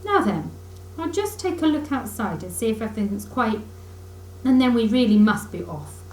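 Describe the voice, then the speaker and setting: A woman voicing a good-natured, capable male river rat in a children's storybook, with a brisk, chummy, reassuring manner. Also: a little high, very animated, darkish tone, echoey room, rough recording.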